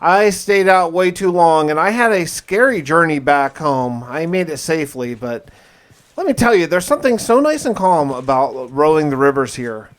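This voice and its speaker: A man narrating a survival game playthrough in a conversational, first-person storytelling way, as a roleplaying video host. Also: a little high. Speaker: gaming video host and player. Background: music.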